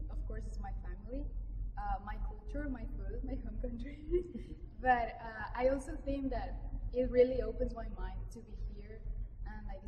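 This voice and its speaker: A young woman from Ecuador, a graduate student, speaking thoughtfully with frequent "like" fillers. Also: on the high side. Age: young adult. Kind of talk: unscripted personal remarks. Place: large chapel with stone walls, indoors. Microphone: camera mic from across the room. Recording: distant echoey chapel with clear room noise.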